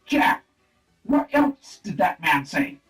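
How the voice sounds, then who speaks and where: An actor playing an earnest, exasperated police sergeant in a comic radio play. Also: male voice, sits high, sing-song, slow pace, fairly bright tone, faint music behind, full character voice.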